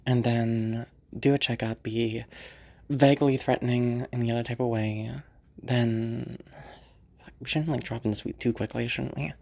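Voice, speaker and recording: A woman's voice: extremely low pitch, fairly even intonation, moderate pace, gravelly, a little mellow, exaggerated voice. A woman voicing a cold, calculating rich sociopath who mutters her schemes to herself with dry laughs, frequent whispers and swearing. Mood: planning. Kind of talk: audio roleplay monologue.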